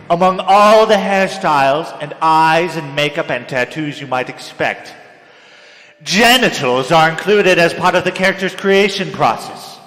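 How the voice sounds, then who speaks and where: A young man delivers a theatrical dramatic reading of a written piece in a melodramatic manner, swinging between hushed and loud. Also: on the high side. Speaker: dramatic reader. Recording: echoey hall with long reverb, music behind. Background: music.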